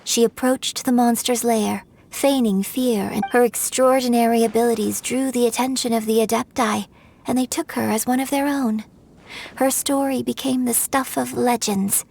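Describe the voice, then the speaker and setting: A woman voicing a graceful young opera singer in a video game, telling an old legend in a poised, measured way. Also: on the high side, steady tone, vocal fry, darkish tone, slight background noise.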